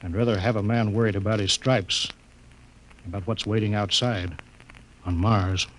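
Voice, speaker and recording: An actor playing a stern, commanding navy spaceship captain who gives clipped orders in an old radio drama. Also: male voice, steady tone, normal speed, close to an everyday voice. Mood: grim. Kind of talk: 1950s radio drama acting. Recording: noisy old radio recording, engine rumble behind.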